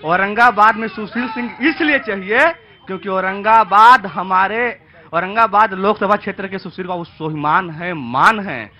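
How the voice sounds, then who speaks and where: A man's voice: high-pitched, normal speed, bright, forceful tone, lo-fi sound. A man with a Bihari accent making an impassioned political argument as a party spokesperson. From Bihar, India.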